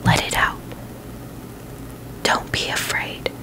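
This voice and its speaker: A woman's voice: takes it slowly, poor audio. Whispering woman in casual ASMR style. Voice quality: breathy, unvoiced whisper. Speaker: ASMR video host.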